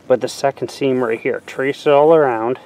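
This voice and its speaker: A man's voice: mid-range voice, steady tone, moderate pace, darkish tone, slight background noise, rough recording. Stuffy-nosed young man explaining a DIY job. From Canada. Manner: chatty, explains steps to the camera. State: stuffy from a cold. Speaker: fishing channel host. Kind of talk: casual DIY how-to vlog. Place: outdoor driveway by a garage. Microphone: camera mic, talking to the lens up close.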